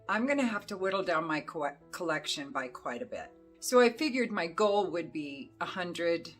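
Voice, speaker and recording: A middle-aged woman with a hoarse voice, chatting casually as she reviews perfumes, rambling along with plenty of fillers. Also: sits low, fairly bright tone. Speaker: fragrance reviewer. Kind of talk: casual chat to camera.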